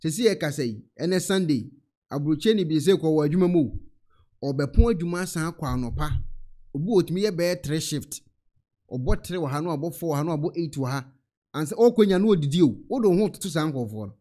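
A man's voice: on the high side, animated, fast, dark tone. A young man with a Ghanaian accent preaching a sermon on a church stage, firing off rhetorical questions and repeating phrases into a handheld mic. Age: young adult. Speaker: pastor.

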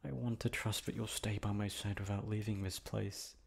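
A man voices an obsessive young prince in an ASMR roleplay. His voice sits low with a gravelly fry, and his wording is formal and stilted, the speech of a lonely captor used to giving orders. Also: level tone, moderate pace. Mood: cold, commanding. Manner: formal, stilted diction, swinging from tender to commanding. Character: possessive, lonely, obsessive young prince holding a captive lover.

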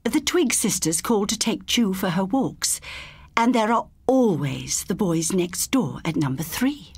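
A woman voicing a gentle convalescent woman in an audiobook story, soft, breathy and sighing. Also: mid-range voice, big pitch swings, unhurried, no echo, exaggerated voice. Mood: weary, reassuring. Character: gentle convalescent woman living alone, reassuring.

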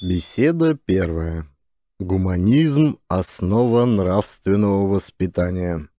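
A man's voice: sits low, expressive, slow pace, mellow, a little hiss or hum. A man narrating a dramatized humorous story as a trained actor reading from a script.

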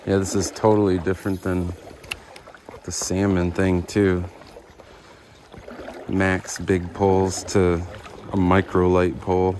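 A man's voice: low voice, slow, long pauses, noisy background. A young man filming himself fishing at a river, chatting casually to a body-worn camera. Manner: casual, talks to the camera while fishing, gets excited at fish. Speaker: fishing vlogger. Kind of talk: casual outdoor vlog narration. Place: outdoors by a river. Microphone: action camera worn on the body.

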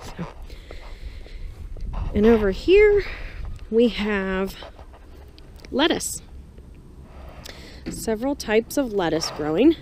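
A woman vlogger giving a chatty, casual walk-through of her garden, pausing between short phrases. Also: mid-range voice, big pitch swings, a little mellow. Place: small greenhouse and open farm garden, outdoors. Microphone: action camera's built-in mic, worn or handheld. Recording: outdoor handheld action camera with background noise. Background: clear ambient outdoor noise.

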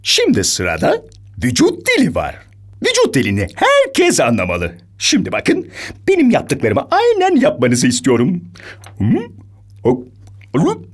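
A man's voice: high-pitched, huge pitch swings, measured pace, husky, full character voice. An actor playing a vain, pompous male stage star in a dubbed cartoon. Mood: dramatic. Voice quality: pompous, grandiose. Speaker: dub voice actor. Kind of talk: Turkish cartoon dub. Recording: cartoon soundtrack with music.

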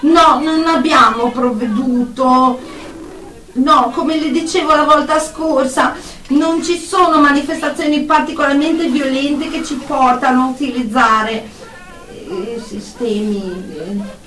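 An actress playing an anxious, deferential psychotherapist in a radio drama, speaking haltingly and apologetically on a phone call to a superior. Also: female voice, high-pitched, fairly bright tone, slight background noise. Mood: worried, hesitant. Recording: echoey room, distant from the mic.

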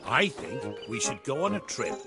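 A man's voice: medium pitch, big pitch swings, normal speed, creaky, over music. A man voicing a jolly, easygoing father pig in a children's cartoon game, jovial and enthusiastic.

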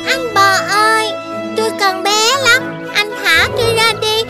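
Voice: very high-pitched, steady tone, measured pace, over music. A performer voicing a tiny young magic fish in a children's cartoon, in a cute, childlike manner. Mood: pleading.

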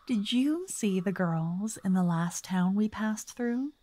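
Casting: wealthy vampire authoress played by a woman for ASMR roleplay; theatrical, doting tease, unhurried, mellow, breathy half-whisper. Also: mid-range voice, animated, no echo. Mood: wistful, playful. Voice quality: soft, breathy, often whispered. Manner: teasing, dreamy, old-fashioned wording. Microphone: close, dry microphone.

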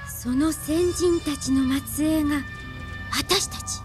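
High-pitched, earnest young heroine. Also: female voice, takes it slowly, faint music behind. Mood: pensive. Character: bright, thoughtful young heroine of a sci-fi comedy. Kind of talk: Japanese radio drama acting.

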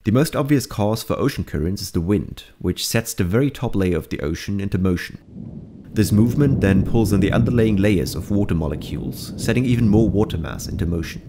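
A man reading scripted documentary-style narration for an educational explainer, in a measured, explanatory manner. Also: fairly low pitch, noisy background. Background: background music.

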